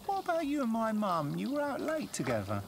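A man's voice: extremely high pitch, huge pitch swings, a little fast, vocal fry, crowd noise, pitched above the performer's own voice, exaggerated voice. A man voicing a wry, sceptical young woman in an audiobook, with an informal manner.

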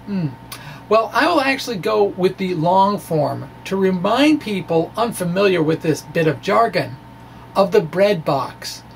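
A middle-aged man reads a science explainer aloud at an unhurried pace. His phrasing is deliberate and a little theatrical. He speaks into a microphone indoors, with noticeable background noise. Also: high voice, low-quality recording. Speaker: science blogger and presenter. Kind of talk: dramatic reading of a physics blog, explanatory. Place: small indoor room with bookshelves. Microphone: microphone on a stand in front of him. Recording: clear steady background noise.

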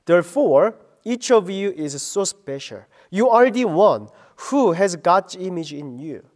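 Middle-aged pastor guy, earnest slow devotion, fairly high voice. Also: sing-song. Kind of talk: radio devotion sermon.

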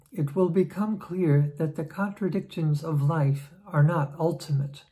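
Woman in her sixties, very mellow tone, slow solemn reading, religious text, home recording. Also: deep voice, poor audio. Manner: measured, solemn. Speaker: lay reader. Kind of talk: reading aloud from a religious book. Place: indoor room at home.

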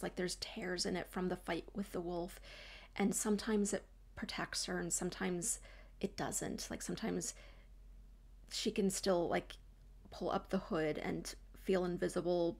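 Soft-spoken woman with a gravelly edge. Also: on the low side. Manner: thoughtful, gestures a lot, frequent restarts and soft trailing asides. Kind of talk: reflective talk to camera. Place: small home room, indoors.

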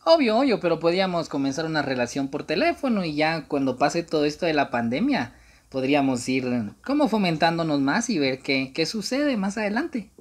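A man, speaking haltingly and coyly on a casual prank phone call. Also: on the high side, very animated. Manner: hesitant, halting, coy. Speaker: prank caller and channel host. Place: small room indoors.

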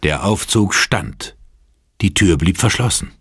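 A man's voice: low-pitched, expressive, unhurried, a bit bright, slight background noise. A man narrating an audio drama in a dramatic, scripted style.

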